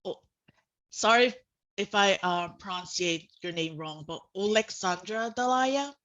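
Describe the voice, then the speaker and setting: A woman moderating a student panel in a semi-formal way, with halting, informal phrasing, speaking on an online video call. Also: mid-range voice, lively intonation, fairly bright tone, dull audio. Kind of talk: semi-formal presenting and interviewing.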